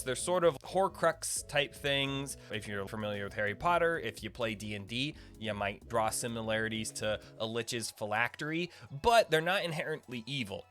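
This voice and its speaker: A man's voice: medium pitch, fairly bright tone. A man hosting a gaming video, giving casual, informal lore commentary in a rambling, speculative way. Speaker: gaming video creator and host.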